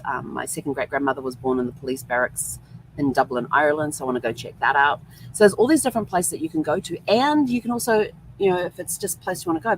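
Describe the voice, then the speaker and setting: A woman's voice: sits low, a little hiss or hum, low-quality recording. A woman hosting a vlog, telling a rambling, chatty travel story full of ums. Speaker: host. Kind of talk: casual travel vlog monologue.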